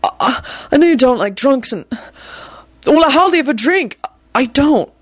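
A woman's voice: mid-range voice, lively intonation, normal speed, darkish tone, no echo, lightly acted. A woman voicing an awkward, earnest young man in a storybook reading, hesitant and apologetic. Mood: desperate apology.

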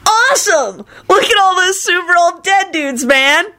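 A whiny slacker dude from a comic storybook, played by a woman narrator, high and very animated in pitch. He is childish and excitable, prone to wailing and shrieking. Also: unhurried, exaggerated voice. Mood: thrilled. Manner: shrieking and whining.

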